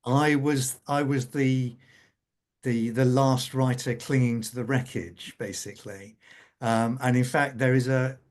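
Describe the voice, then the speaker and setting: A man speaking as a scriptwriter in an unscripted panel conversation, halting and often repeating words as he goes. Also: mid-range voice, steady tone, a little mellow.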